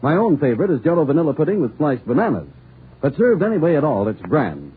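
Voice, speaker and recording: A man's voice: a little high, very animated, fast talker, husky. A man announcing on 1940s radio with a polished, theatrical, emphatic storytelling delivery. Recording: tinny, hissy old radio recording.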